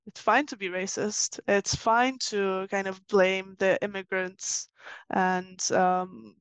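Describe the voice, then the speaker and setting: A woman's voice: mid-range voice, level tone, takes it slowly, clear, resonant tone, dry recording. A woman from Turkey giving conversational, explanatory answers as an interview guest. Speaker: interview guest, author and financial journalist.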